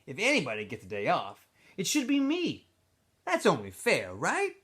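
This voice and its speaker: Man voicing a pushy, high-pitched teenage boy. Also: wildly swooping pitch, moderate pace, a bit bright, slightly rough, full character voice. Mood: wheedling, self-justifying. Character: pushy teenage boy, small self-assured group leader, wheedling.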